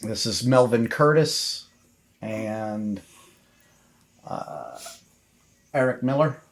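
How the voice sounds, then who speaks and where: A man's voice: mid-range voice, drawn-out words. A man playing a suspicious deputy in a tabletop game, questioning kids in a dry, probing way.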